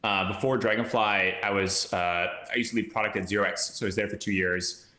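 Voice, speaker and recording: A man's voice: on the low side, a little fast, echoey room. A man speaking as a guest on a podcast by video call from a room at home, chatting casually with plenty of fillers and restarts. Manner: relaxed, rambling with fillers and restarts. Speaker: podcast guest, crypto investor. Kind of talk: casual chat. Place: home room with brick wall and plant.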